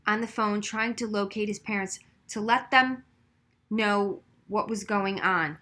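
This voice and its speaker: Bright-voiced woman reading a story. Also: medium pitch, unhurried. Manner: narrates expressively, acting out dialogue. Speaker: audiobook reader. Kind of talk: children's novel read aloud.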